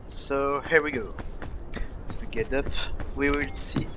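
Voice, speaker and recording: A young man with a French accent, casually commenting on his own gameplay and thinking aloud, with frequent pauses and fillers. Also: mid-range voice, fairly even intonation, background noise. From France.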